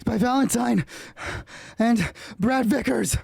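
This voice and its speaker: A man's voice: extremely high pitch, takes it slowly, vocal fry, darkish tone, husky, pitched above the performer's own voice, full character voice. A man voicing a winded female soldier making urgent, strained reports in a tabletop roleplay. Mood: frantic, urgent. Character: winded female secret-service soldier, frantic on radio.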